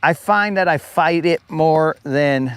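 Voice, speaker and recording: A man's voice: on the high side, takes it slowly, fairly bright tone, clear, resonant tone, no room sound. Chatty man hosting a fishing stream. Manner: casual, chatty, says "bro" a lot, reads viewer comments aloud. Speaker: fishing livestream host. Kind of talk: live stream chat while fishing. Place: outdoors on a lake, fishing.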